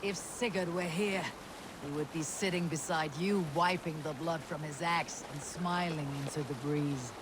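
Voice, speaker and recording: An actor playing a proud, stubborn Viking warrior in a video game, terse and defiant with archaic phrasing. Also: male voice, on the high side, normal speed, very noisy, close to an everyday voice. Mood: defiant, dry. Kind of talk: video game cutscene acting.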